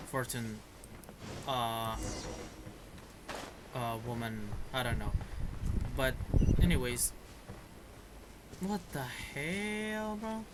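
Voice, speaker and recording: A man with a mid-pitched voice comments on a game in a loose, rambling way. His speech is informal and offhand, recorded with clear background noise. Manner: informal, rambling, mild swearing. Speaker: gaming video creator. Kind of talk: casual gameplay commentary.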